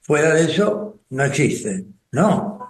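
Older Argentine man, slow and reflective. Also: a little high, very animated, roomy sound. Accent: Rioplatense Spanish. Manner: slow, thoughtful, with pauses and restarts. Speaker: spiritual group coordinator and radio guest. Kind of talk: reflective radio conversation.

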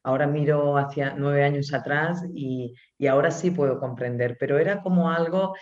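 A woman with a Spanish accent, an author answering interview questions slowly and thoughtfully, pausing often. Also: deep voice, reverberant, lo-fi sound. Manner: slow, thoughtful, with many pauses and fillers. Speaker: author and guest.

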